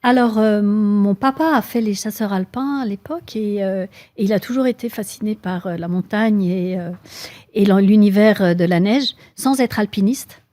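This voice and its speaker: A middle-aged woman from Switzerland with a Swiss French accent, answering interview questions thoughtfully, with frequent pauses and fillers. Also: medium pitch, warm tone, dry recording. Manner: thoughtful, hesitant with many fillers. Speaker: guest, mountaineer.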